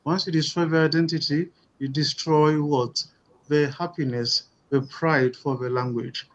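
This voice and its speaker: A man giving an academic lecture at a webinar, measured and dotted with "you know" and "uh". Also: fairly high pitch, steady tone, lo-fi sound, a little hiss or hum. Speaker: webinar speaker. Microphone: video call.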